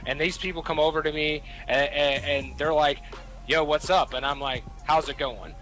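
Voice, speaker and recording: A young man from Kentucky with a Southern drawl swaps rambling stories in a loose chat. His voice is bright and forward, he swears freely and often restarts his sentences, and faint music plays under him. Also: on the high side, fairly even intonation, moderate pace, poor audio. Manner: rambling, profane, many restarts. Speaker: podcast host. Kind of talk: casual storytelling chat.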